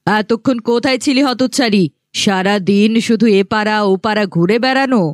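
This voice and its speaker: A woman's voice: fairly high pitch, normal speed, no room sound, clean studio sound, natural voice. A woman voicing a cruel, bossy middle-aged village stepmother in a cartoon, scornful and scolding. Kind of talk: cartoon voice acting.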